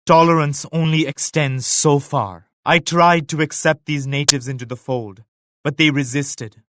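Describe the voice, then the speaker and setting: An actor voicing a devout, dignified Mughal emperor in a video game, speaking in a solemn, formal, measured manner. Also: male voice, fairly high pitch, fairly even intonation, background noise, lightly acted. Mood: grave, resolute. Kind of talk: scripted video game character lines.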